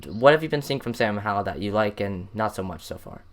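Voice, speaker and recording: A man's voice: fairly low pitch, lively intonation, a little fast, a little hiss or hum. A man with an American accent hosting a casual sports interview and asking questions. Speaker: podcast host, interviewer.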